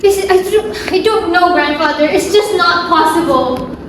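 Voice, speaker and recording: Woman acting a very high-voiced, distressed young granddaughter, heavy echo. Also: unhurried, fairly bright tone. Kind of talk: amateur stage play acting. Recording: very echoey room, faint noise.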